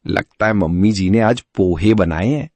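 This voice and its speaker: Man playing a husky, eager simple husband. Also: lowish voice, moderate pace, darkish tone, no echo. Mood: eager, hungry. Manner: eager, innocent. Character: simple, food-loving, good-natured young husband. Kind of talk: cartoon story acting.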